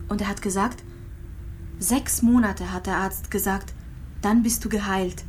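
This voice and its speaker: An actress playing a gravely ill young immigrant mother, frail and resigned, confiding in halting, repeated phrases in a radio drama. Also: mid-range voice, steady tone, a little mellow, a little hiss or hum, close to an everyday voice. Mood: quiet, sad. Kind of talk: intimate radio drama monologue and dialogue.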